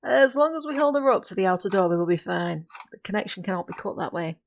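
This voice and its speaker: A woman acting a centuries-old witch in an audio drama, firm but caring, speaking earnestly in formal, measured phrases. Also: mid-range voice, animated, vocal fry. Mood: resolute.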